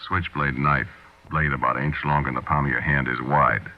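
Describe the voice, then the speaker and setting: A man's voice: very deep voice, fairly even intonation, fairly quick. An actor with an American accent playing a cold-blooded small-time crook, telling his story in tough, confiding slang in 1940s radio drama style. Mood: matter-of-fact, confiding. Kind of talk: 1940s radio drama first-person narration. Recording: muffled 1940s radio recording.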